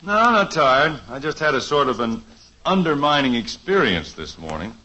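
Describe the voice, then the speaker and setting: An actor playing a steady father with a dry, wry humor, in 1950s radio sitcom acting. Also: mid-range voice, moderate pace. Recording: dull old radio recording, faint hiss.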